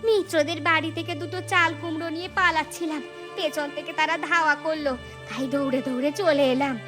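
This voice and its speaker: A woman's voice: high voice, steady tone, fast, natural voice. A woman voicing a greedy, bossy, scheming village wife in a cartoon folk tale. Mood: sheepish. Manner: bossy, scolding. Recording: clear music bed. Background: music.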